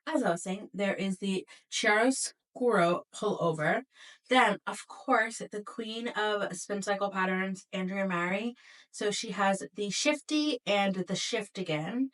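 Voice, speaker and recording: A woman's voice: mid-range voice, a bit bright, distant mic, rough recording. Slightly nasal woman chatting about knitting. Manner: chatty, with frequent "like" fillers. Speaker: knitting vlogger. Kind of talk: casual talk to camera.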